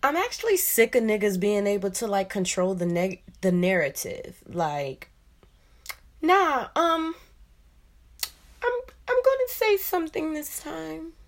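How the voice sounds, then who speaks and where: A woman telling a personal story casually and candidly, blunt and profane, with lots of slang and animated acting-out of her reactions. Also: fairly high pitch, unhurried. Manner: animated, acts out quoted reactions. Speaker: storyteller hosting own vlog.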